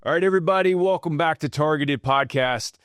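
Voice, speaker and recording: A man hosting a podcast from a studio, chatting in an upbeat, friendly way with quick bursts and restarts. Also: a little high, over music. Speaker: podcast host. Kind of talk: casual interview chat.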